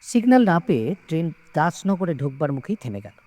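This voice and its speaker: A man reading a story aloud in a measured, expressive, suspenseful way with dramatic pauses. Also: on the high side. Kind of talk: dramatic scripted story narration.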